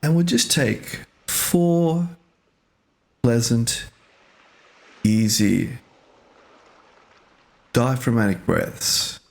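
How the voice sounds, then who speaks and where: Slow-paced man guiding meditation. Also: mid-range voice, lively intonation, vocal fry. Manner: gentle, unhurried instruction in short phrases with pauses. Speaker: meditation teacher. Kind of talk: guided meditation.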